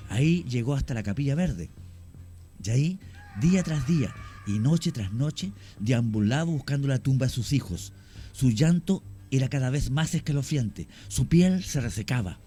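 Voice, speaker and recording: A man, a radio guest telling an old ghost legend in a measured way with some "eh" fillers. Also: medium pitch, expressive, mellow, soft background music. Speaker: guest storyteller.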